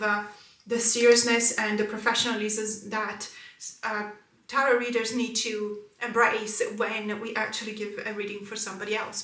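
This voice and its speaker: Woman chatting earnestly about tarot decks, bright voice, echoey room, sits a bit back from the mic. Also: fairly high pitch, distant mic. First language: Italian. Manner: earnest, many fillers and restarts. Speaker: tarot channel host. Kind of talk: casual haul vlog.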